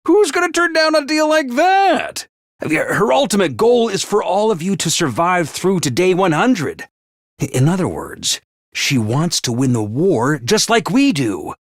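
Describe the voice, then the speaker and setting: Squeaky little robot commander guy, super bossy and showy, swoops up and down, bright dry studio sound. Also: no room sound, full character voice. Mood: scheming. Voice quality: squeaky, over-the-top. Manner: grandstanding, self-important, prone to shouting. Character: small bossy male robot commander, theatrical and excitable. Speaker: voice actor. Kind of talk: video game voice acting. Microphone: dry studio mic.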